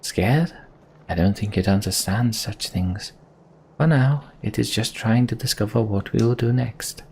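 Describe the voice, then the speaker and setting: A man voicing an earnest, devoted sorceress in a fantasy video game, measured and sincere. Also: fairly low pitch, gravelly, a little mellow, slight background noise. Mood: solemn. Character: earnest, devoted female mender sorceress, solemn.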